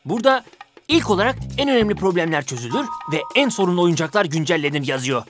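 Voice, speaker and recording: A woman's voice: on the low side, very animated, quick pace, over music. A woman voicing an earnest, wide-eyed toy helicopter who explains things helpfully in a children's cartoon. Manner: explanatory, easily amazed. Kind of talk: children's animated series voice acting.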